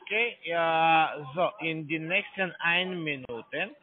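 A man who is not a native German speaker, phoning from a call center, speaking halting broken German and repeating words. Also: on the high side. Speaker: scam call center caller. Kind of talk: phone call. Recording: tinny phone line.

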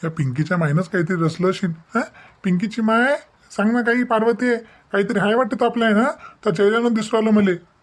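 A man's voice: high voice, fast talker, lightly acted. A man playing a jokey, complaining village brother-in-law in a comic story, with a rural Vidarbha Marathi accent and teasing, repetitive, folksy talk. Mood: suspicious, grumbling.

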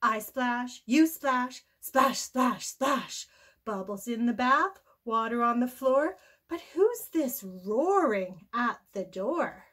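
An older woman reads a picture book aloud for young children. Her voice is high and bright, and she takes it very slowly. The phrasing is deliberate, expressive and sing-song. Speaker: storybook read-aloud host. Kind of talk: animated children's story reading.

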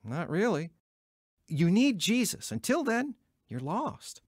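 An actor in an audio drama playing an earnest, emotional, wavering ex-convict who has newly found religion. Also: male voice, high-pitched, very animated, slow pace, dry recording, clean studio sound, full character voice. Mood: excited, insistent. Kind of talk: audio drama acting and first-person narration.

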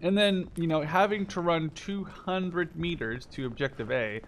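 A young man in his twenties commentates over his gameplay in a fairly high voice. He talks casually and loosely, doubling back on his sentences and tossing in swearing. Faint gunshots and clicking sit behind his voice. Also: measured pace, slight background noise, low-quality recording. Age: 20s. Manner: rambling, self-correcting, swears casually. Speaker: gameplay video host. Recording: game gunfire and clicking behind voice. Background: video game gunshots, machine guns, clicks.

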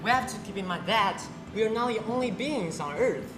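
A teenage girl acting in a school stage play, projecting clearly. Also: medium pitch, expressive, fairly quick, noisy background. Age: teens. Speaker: student performer. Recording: echoey hall stage recording.

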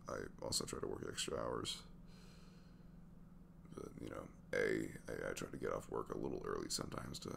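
A man acts out an easygoing, tired single dad in an intimate ASMR roleplay. His voice is extremely low, with heavy vocal fry and lively swings in pitch. He chats softly and hesitantly, rambling along in a sweet, casual way. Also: slight background noise, heavily acted. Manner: hesitant, rambling, soft-spoken.

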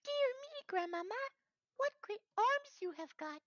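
A man's voice: very high voice, sing-song, unhurried, a bit bright, no room sound, pitched above the performer's own voice, full character voice. A man voicing a naive, polite little girl in a storybook tale, with innocent, singsong exclamations. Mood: wondering. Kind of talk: storybook character voice. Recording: dry, dull lo-fi recording.

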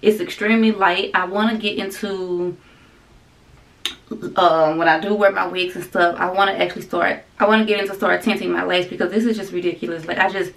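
A woman's voice: fairly low pitch, fairly even intonation, fairly quick, creaky, poor audio. A woman, chatting to camera at home in a loose, rambling vlog style and calling viewers "y'all". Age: 30s. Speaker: vlogger. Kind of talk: casual chat to camera. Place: bedroom at home, indoors.

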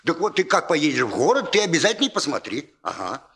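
A man's voice: fairly high pitch, big pitch swings, fast, pushed and bright, exaggerated voice. An actor playing a bustling, folksy older father in a 1960s radio play, chatty and hesitant, repeating filler words. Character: bustling, folksy old father preparing his daughter's wedding. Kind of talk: naturalistic 1960s Soviet radio play acting.

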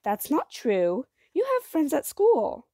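A woman's voice: high voice, wildly swooping pitch, takes it slowly, dark tone, no echo, studio quality, pitched above the performer's own voice, exaggerated voice. A woman voicing a protective mother in a storybook, stern and emphatic as she lays down rules. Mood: firm.

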